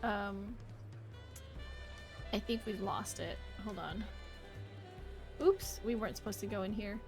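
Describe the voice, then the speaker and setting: A chatty, expressive woman livestreaming a game in a casual, rambling way and talking to her viewers. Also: medium pitch, slow pace, over music. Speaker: live game streamer. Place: indoor home office.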